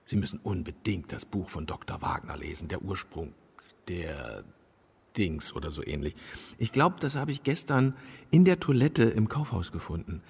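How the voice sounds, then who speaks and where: Eccentric self-important guard, radio drama: halting, doom-minded, wildly varied pitch, big echoing space. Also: male voice, big pitch swings, creaky, slightly rough, exaggerated voice. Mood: rambling, insistent. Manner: halting, rambling, pompous. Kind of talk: German radio play acting. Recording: long reverb of a large hall.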